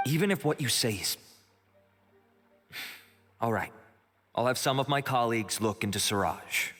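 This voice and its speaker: A man playing a dutiful, earnest investigating officer in a video game, speaking in a formal, measured, polite way. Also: medium pitch, expressive, fairly bright tone, close to an everyday voice. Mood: polite, firm.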